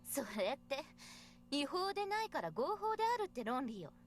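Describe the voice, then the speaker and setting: A woman's voice: high voice, very animated, quick pace, faint music behind. A woman voicing a haughty, sly young woman in a visual novel, condescending, teasing and self-assured. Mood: scornful, challenging. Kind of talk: Japanese visual novel voice acting.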